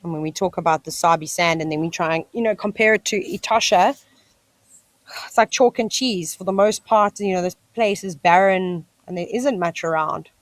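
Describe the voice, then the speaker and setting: A woman's voice: lowish voice, normal speed, no room sound. A woman with a South African accent hosting a live wildlife stream, chatting enthusiastically and talking to the animals on screen. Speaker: wildlife livestream host. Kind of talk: live nature commentary. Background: cicadas screeching.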